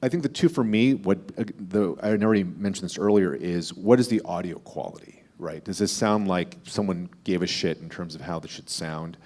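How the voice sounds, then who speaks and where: A man with a low voice speaks as a podcast host on a panel. He explains his views in a measured, conversational way, often reworking a phrase midway. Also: darkish tone.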